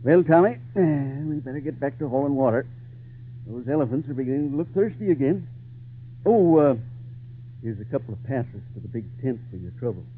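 A man's voice: medium pitch, expressive, fairly quick, lightly acted. A man playing a genial, folksy circus owner who tells stories in an old radio drama. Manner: avuncular, chuckling, folksy. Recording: tinny, hissy vintage radio recording.

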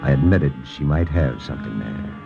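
A man's voice: a bass voice, lively intonation, moderate pace, vocal fry, muffled recording, heavily acted. A man playing a suspicious army lieutenant who narrates in terse, wry noir style in an old radio drama. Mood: brooding, wry. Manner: terse, clipped, wry storytelling. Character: hardboiled, suspicious male army lieutenant narrating his investigation. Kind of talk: 1940s-50s radio drama acting, first-person noir narration. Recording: old radio recording with music underneath.

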